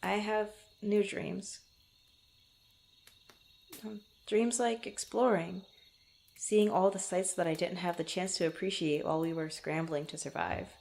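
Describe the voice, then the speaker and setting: A woman playing a proud but tender young female adventurer in an intimate ASMR roleplay, speaking softly and haltingly. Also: fairly low pitch, steady tone. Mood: wistful, playful. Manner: halting, stammering, soft-spoken with breathy asides.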